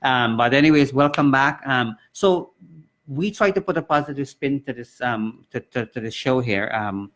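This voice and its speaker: Man from Guyana, radio talk host, earnest and rambling, mid pitch, studio mic. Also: animated, normal speed, a bit bright. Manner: earnest, rambling with many fillers and restarts. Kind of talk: live community radio chat.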